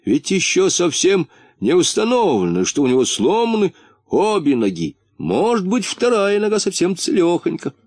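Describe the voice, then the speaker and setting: A man's voice: high-pitched, huge pitch swings, normal speed, a little mellow, dry recording, clean studio sound, voice pushed up, exaggerated voice. A man voicing a matter-of-fact storybook companion who clumsily consoles and reasons in a blunt, well-meaning way. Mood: consoling.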